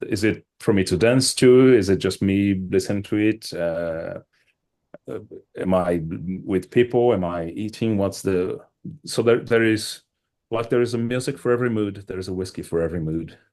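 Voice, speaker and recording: Very low-voiced Brazilian-born man explaining. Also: moderate pace, a little mellow. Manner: thoughtful, with many fillers and restarts. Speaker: wine and whisky shop owner, guest. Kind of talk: interview answers, explaining at length.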